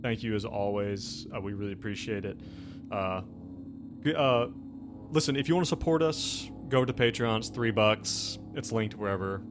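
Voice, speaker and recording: Male tabletop host, lower register, casual storytelling and chat, clear music bed behind. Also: moderate pace. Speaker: game master and host of a tabletop horror game show. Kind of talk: casual game narration and chat.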